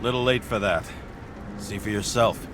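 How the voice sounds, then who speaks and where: A man's voice: on the low side, moderate pace, very noisy, lightly acted. An actor playing a hard-boiled, reckless male detective in a video game, with a world-weary, dry, sardonic delivery. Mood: wry, reckless. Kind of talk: noir monologue and dialogue in a video game.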